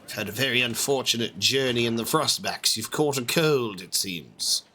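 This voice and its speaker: Man, hoarse voice, let's play host, chatty, rambling, upbeat, faint background ambience. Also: mid-range voice, moderate pace, pushed and bright, slight background noise. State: hoarse from a lost voice. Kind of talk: casual gameplay commentary.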